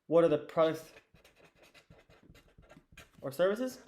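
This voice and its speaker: A teenage boy with a hoarse, fading voice, teaching an investing lesson at a whiteboard in a loose, chatty way with lots of fillers and restarts. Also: a little high, animated, takes it slowly, mellow. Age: teens. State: hoarse, losing his voice. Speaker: student teacher of an investing course.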